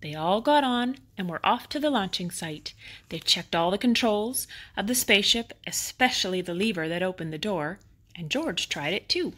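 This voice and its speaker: Lady reading a kids bedtime story out loud, expressive, leans into the exciting bits. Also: medium pitch, moderate pace. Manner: dramatic emphasis on exciting moments. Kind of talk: expressive children's picture-book read-aloud.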